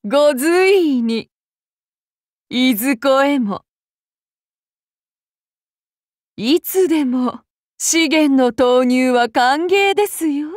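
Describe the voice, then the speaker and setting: An actress voicing a calm, menacing samurai woman of demon blood, speaking in formal archaic phrasing to her master. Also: high-pitched, slow, no echo, clean studio sound. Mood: devoted. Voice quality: formal, archaic courtly speech. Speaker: game character voice actress. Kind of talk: game character voice lines.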